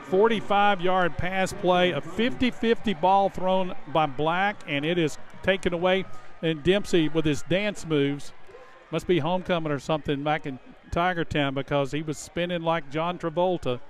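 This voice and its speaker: A man's voice: a little high, moderate pace. A man giving conversational color commentary during a live football game, outdoors at a stadium.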